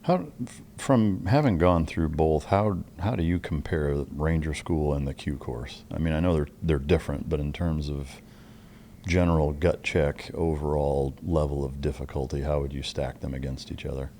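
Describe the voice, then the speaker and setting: A man's voice: extremely low pitch, fairly even intonation, moderate pace, warm tone, slight background noise. A male podcast host asks curious questions, often searching for words and restarting mid-sentence. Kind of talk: interview questions.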